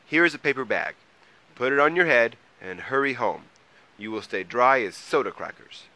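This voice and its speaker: A man's voice: mid-range voice, lively intonation, normal speed, bright, forceful tone, pitched above the performer's own voice. A man voicing a practical, easily exasperated but kind-hearted mole in a children's story, speaking earnestly and emphatically.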